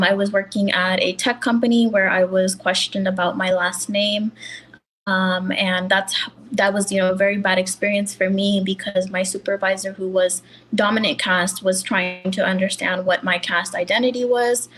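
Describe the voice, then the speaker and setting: A woman's voice: medium pitch, flat delivery, moderate pace, a bit bright, a little hiss or hum. A woman with a Californian American accent, giving earnest interview testimony as an activist, hesitating often as she speaks. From California, USA. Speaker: interview guest, community activist.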